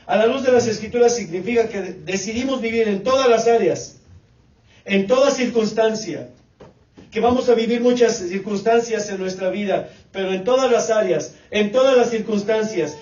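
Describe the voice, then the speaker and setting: Preacher, man in his late 40s, high voice, repetitive cadence; distant, dull recording. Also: moderate pace, darkish tone, reverberant. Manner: repetitive preaching cadence, addresses congregation as brothers. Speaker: pastor. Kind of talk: sermon.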